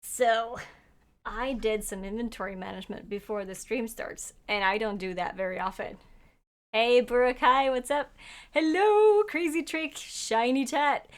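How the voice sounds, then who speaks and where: Young female streamer, Norwegian first language, higher voice, casual and laugh-prone chat. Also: unhurried. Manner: chatty and giggly, laughs often; talks to chat while playing. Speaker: game streamer. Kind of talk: casual livestream chat, reading chat aloud.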